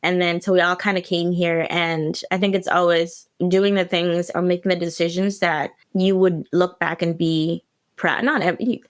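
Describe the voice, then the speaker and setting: A young woman from South Sudan, talking casually as a guest, quick and full of restarts. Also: lowish voice. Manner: quick, with frequent restarts and laughs. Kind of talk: casual interview answer.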